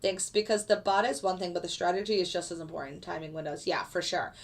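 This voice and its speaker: A woman's voice: lowish voice, fairly even intonation, fast talker. A woman who teaches trading, casually explaining her settings and walking viewers through a live chart as a trade plays out.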